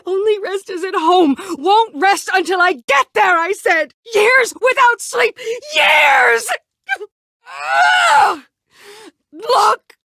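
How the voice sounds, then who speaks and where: A woman's voice: very high voice, lively intonation, slow, gravelly, pushed and bright, no echo, raised voice, clean studio sound, heavily acted. An actress playing a bitter, world-weary woman survivor set on vengeance in a story video game, brooding, curt and dryly sardonic. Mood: anguished, furious. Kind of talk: dramatic video game voice acting.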